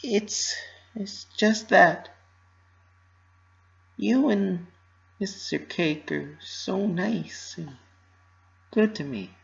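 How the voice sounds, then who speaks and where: A woman's voice: medium pitch, very slow, creaky, long pauses, lo-fi sound. A woman voicing an anxious, bubbly young female pony baker who doubts herself, in an emotional cartoon-style dramatic reading. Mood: hesitant, worried. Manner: emotional, forced cheer over distress.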